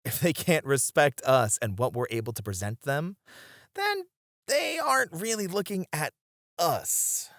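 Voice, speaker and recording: A man playing a flirty, supportive club host in an ASMR roleplay, speaking in a gentle, reassuring way. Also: a little high, big pitch swings, unhurried, no echo, clean studio sound, heavily acted. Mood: gentle, encouraging. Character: flirty, supportive male club host comforting his friends.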